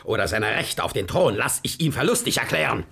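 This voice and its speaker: Brief: a raging old king for radio drama, gravelly and husky, swinging his pitch wildly. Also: sing-song, brisk, fairly bright tone, exaggerated voice. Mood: commanding. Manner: barks commands, then broods in low mutters. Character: irate tyrannical old Prussian king. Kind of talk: 1970s radio drama acting.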